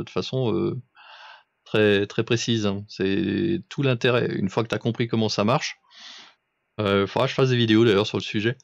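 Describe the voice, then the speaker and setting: A man with a gravelly, mid-pitched voice talks informally, like a radio operator patiently setting someone straight. He is didactic and exacting about each instruction. The sound is close and dry, with a slightly muffled, lo-fi tone. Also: dry recording. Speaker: amateur radio operator coaching another on settings. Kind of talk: casual instructional radio chat.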